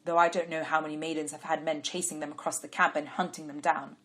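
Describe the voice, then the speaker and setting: A woman voicing a dignified older father who speaks with measured, kindly authority. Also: deep voice, flat delivery, fairly bright tone. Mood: pleased.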